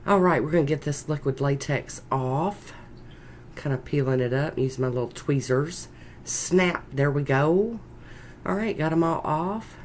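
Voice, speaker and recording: A congested woman with a croaky voice and a Southern US accent, chatting casually in a folksy, rambling way as she narrates a nail art tutorial. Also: very deep voice. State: congested with a sinus infection, sore throat. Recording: noticeable background noise.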